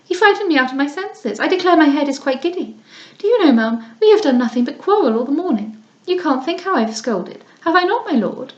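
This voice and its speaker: A woman reading aloud gives voice to a spoiled young noblewoman, mincing and affected, who complains in a high, fluttering voice that swoops all over. The room gives it a roomy echo. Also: expressive, brisk, hardly any pauses, dull audio. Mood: petulant, coquettish.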